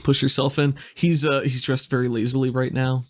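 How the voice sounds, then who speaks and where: A man's voice: medium pitch, flat delivery, brisk. A man working as game master, improvising descriptive narration that sets scenes in a tabletop game.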